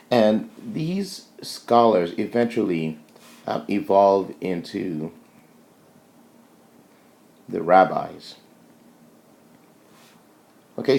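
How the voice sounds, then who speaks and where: Male lecturer, low-pitched with plenty of fry, informal and halting explanatory delivery. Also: darkish tone. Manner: halting, thinking aloud, checks in with "okay" and "right".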